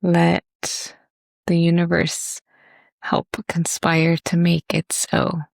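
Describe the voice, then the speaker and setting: A woman teaching a guided meditation and body scan in a gentle, unhurried manner with long pauses. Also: fairly low pitch, completely flat delivery, creaky, no room sound.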